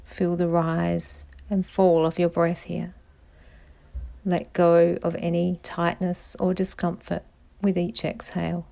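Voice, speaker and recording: A woman guiding a meditation in a calm, soothing voice, giving measured instructions with pauses. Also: lowish voice, gravelly. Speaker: meditation guide, podcast host. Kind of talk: slow guided meditation narration.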